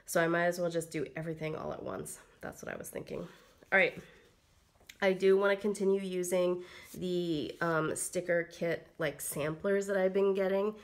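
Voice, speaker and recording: A woman's voice: on the low side, fairly even intonation, moderate pace. A woman getting over a cold, her voice slightly froggy, chatting casually through a planner walkthrough with lots of ums and likes. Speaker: planner vlogger. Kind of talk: casual plan-with-me narration.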